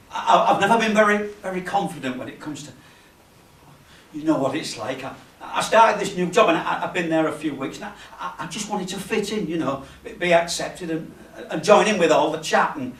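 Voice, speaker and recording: A man acting a nervous, halting bloke, echoey. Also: fairly high pitch, a bit bright. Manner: stammering, halting, self-deprecating. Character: nervous, lonely, unassuming man babbling before performing. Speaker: stage actor. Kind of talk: theatrical comic monologue. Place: cafe bar performance space. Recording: echoey room, distant camera microphone.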